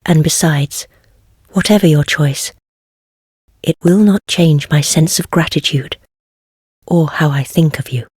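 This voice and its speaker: A woman playing a wry, world-weary heretical witch in a fantasy game, speaking in measured, archaic phrases with gentle teasing. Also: sits low, no room sound, studio quality. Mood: soft, sincere. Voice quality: husky, breathy. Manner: measured, archaic formal speech, softly teasing. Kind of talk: scripted fantasy game voice acting.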